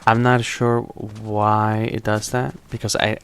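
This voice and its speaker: Streamer, male, lowish voice, slight accent, loose rambling live commentary, faint game sound behind. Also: slow, slight background noise. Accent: non-native English accent. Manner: relaxed, rambling with frequent restarts. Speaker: tech streamer.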